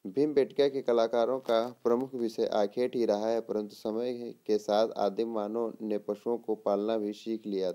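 A man giving an exam lesson read from notes in a deliberate way, posing questions and answering them, sometimes repeating words. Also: fairly low pitch, mellow. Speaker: teacher.

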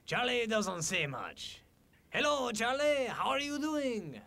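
A man's voice: very high-pitched, big pitch swings, slow pace, bright, forceful tone, heavily acted. A man playing a jovial, chatty talking snowdrift with an exaggerated French accent, theatrical and playful in a comic story. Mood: joking. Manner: theatrical, playful, addresses listener directly.

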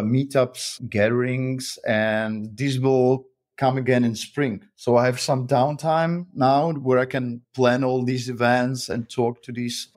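A man's voice: mid-range voice, darkish tone. A man from the Republic speaking English, talkative and friendly as a guest on an interview podcast. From Czech Republic. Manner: friendly, talkative, with frequent uh fillers and restarts. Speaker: guest, author and freelancer. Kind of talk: interview conversation.

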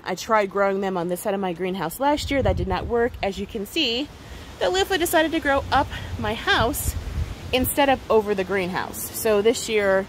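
A woman's voice: medium pitch, slight background noise, rough recording. A woman talking casually and informally outdoors, explaining things as she points them out on an unscripted garden tour. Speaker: gardening vlogger giving a tour. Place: outdoors on a home deck.

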